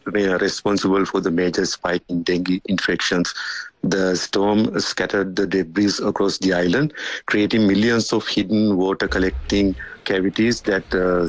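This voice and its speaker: Deep-voiced Sri Lankan man, even delivery. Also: dry recording, rough recording. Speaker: news correspondent. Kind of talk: formal news report.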